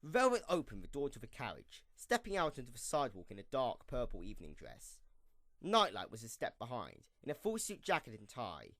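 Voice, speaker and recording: Animated male narrator, dry close recording. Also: medium pitch, brisk, dry recording. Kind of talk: scripted storybook narration for an amateur radio play.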